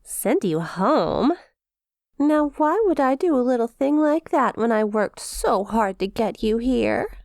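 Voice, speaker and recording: A woman's voice: on the high side, animated, slow pace, gravelly, darkish tone, dry recording, clean studio sound, full character voice. A woman playing a perky, smug demon imp in an ASMR roleplay, teasing with playful mock sympathy and a possessive streak. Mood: smug, menacing. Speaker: audio roleplay performer.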